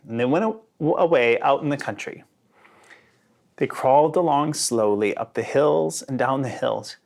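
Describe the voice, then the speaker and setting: A man reading a children's picture book aloud in an expressive storytelling manner. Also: mid-range voice. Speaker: storybook reader.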